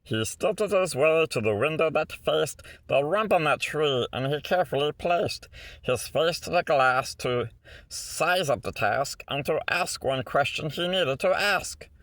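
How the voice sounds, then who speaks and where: Male storybook reader for rhyming picture book: theatrical, lively pitch swings, measured pace, rhythmic phrasing. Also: dry recording. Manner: theatrical, rhythmic delivery with stretched words and asides. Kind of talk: expressive read-aloud of a rhyming children's picture book.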